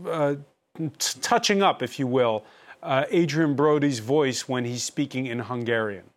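A man's voice: medium pitch, measured pace. Male interviewer asking probing questions. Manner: probing, hesitant with many uhs and ums. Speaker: interviewer host.

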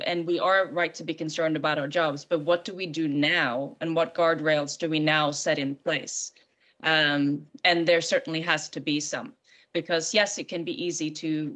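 Woman, low voice, screenwriter, earnest, emphatic. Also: fairly even intonation, moderate pace, fairly bright tone. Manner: earnest, emphatic, occasional stammering restarts. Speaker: screenwriter guest. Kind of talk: opinionated discussion.

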